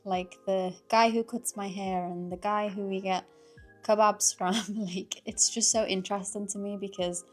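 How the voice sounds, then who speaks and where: Young Liverpudlian woman, casual vlogger talking to camera, mid-pitched, faint music bed behind. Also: fairly even intonation, moderate pace. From Liverpool, England. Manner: chatty, lots of "like", frequent laughs.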